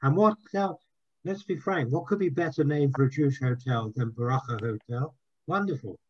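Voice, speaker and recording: A man's voice: medium pitch, slightly rough, highs cut off. An older man, the guest speaker, giving a talk and taking questions on a video call in a room at home, in a friendly, chatty way. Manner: friendly, chatty, quick to agree.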